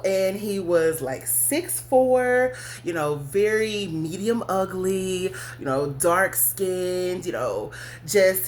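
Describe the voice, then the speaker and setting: A woman's voice: on the low side, slight background noise. Blunt, laughing woman telling a story. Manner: candid and blunt, cursing, laughing often mid-story. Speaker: podcast host. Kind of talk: casual storytelling to listeners.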